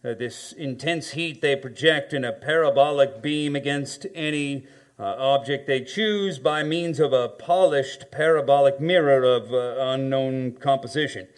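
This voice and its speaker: An actor playing a learned professor in a radio drama, speaking in a deliberate, hesitant, analytical way. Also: male voice, medium pitch, lightly acted. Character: learned male astronomy professor, measured and analytical. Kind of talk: stage radio drama acting.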